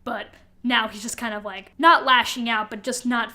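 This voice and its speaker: A woman's voice: on the high side, fairly even intonation, normal speed, pushed and bright. A woman co-hosting a podcast in casual chat, informal and sweary, voicing imagined quotes as she goes. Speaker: podcast co-host.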